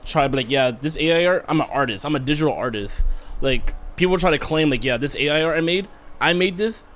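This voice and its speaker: A man's voice: fairly high pitch, quick pace, a bit bright, slight background noise. A young man in casual podcast conversation, talking in slang with the odd swear word. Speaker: podcast co-host.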